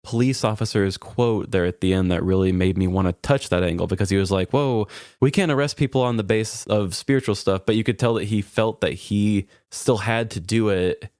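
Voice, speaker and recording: Male podcast co-host, low register, close dry sound, easygoing storytelling with a self-deprecating streak. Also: brisk, a little mellow, no echo. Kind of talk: casual podcast chat, storytelling.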